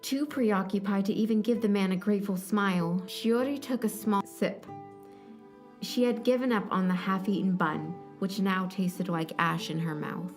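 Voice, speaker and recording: A woman's voice: mid-range voice, moderate pace, faint music behind. A woman reading scripted story narration as the narrator of an audio drama.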